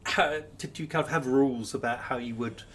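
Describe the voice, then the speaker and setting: A man's voice: mid-range voice, brisk, fairly bright tone. Male interviewer with noise behind him. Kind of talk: conversational literary interview questions.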